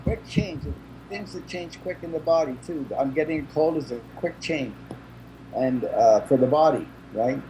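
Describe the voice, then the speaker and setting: Chatty man with a mellow voice. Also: on the high side, moderate pace, a little hiss or hum, rough recording. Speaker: interview guest. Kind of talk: casual chat. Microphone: video call.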